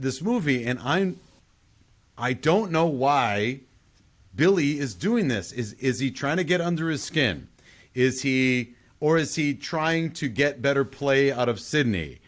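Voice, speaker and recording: A man casually discussing a film on a podcast, thinking aloud with questions and restarted sentences. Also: medium pitch. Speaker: podcast panelist.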